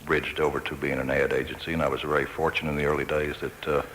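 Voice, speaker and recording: A man's voice: extremely low pitch, flat delivery, fast, a bit bright. A middle-aged man reminiscing as a guest in a radio interview, telling it matter-of-factly. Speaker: interview guest, ad agency head. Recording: faintly noisy radio aircheck.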